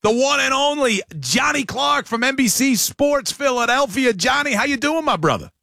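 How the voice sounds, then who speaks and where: A man hosting a sports radio show, leading casual, joking banter at a quick, upbeat clip. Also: very high voice, expressive, pushed and bright, no echo, studio quality. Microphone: studio microphone.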